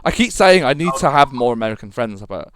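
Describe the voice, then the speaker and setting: A man's voice: medium pitch, very animated, fairly quick, a bit bright. A man born in Britain, rambling through a casual podcast chat with lots of fillers and swearing. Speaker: podcast co-host.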